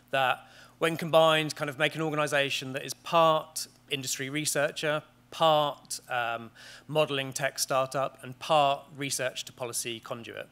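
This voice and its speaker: A British man in his thirties speaks from a conference stage in a large panelled hall. His voice is bright and clear, and he talks at a measured pace, often pausing on "um" and restarting his sentences. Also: mid-range voice. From Great Britain. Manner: measured, with frequent ums and restarts. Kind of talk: conference presentation. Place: large wood-panelled auditorium.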